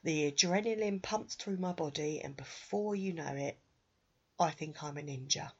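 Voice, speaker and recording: Woman, podcast host, nasal from a cold, husky, chatty, dull lo-fi recording. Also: deep voice, moderate pace. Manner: chatty, laughs at herself. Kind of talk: solo podcast talk. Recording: dull lo-fi sound.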